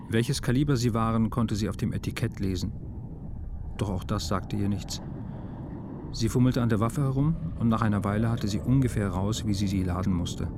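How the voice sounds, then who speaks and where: Male narrator for literary audio drama: lower register, matter-of-fact scripted read, music underneath.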